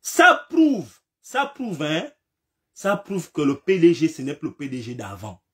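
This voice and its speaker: Animated, impassioned man with a cold. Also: fairly high pitch, unhurried. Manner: emphatic, repetitive, rhetorical questions, rising to shouts. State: has a slight cold. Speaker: political commentator. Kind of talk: impassioned political commentary.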